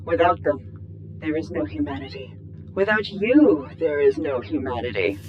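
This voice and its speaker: A woman's voice: low voice, big pitch swings, unhurried, highs cut off, slight background noise. A woman playing a cold, fanatical scientist captor in a sci-fi audio drama, condescending, preachy and threatening. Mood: menacing.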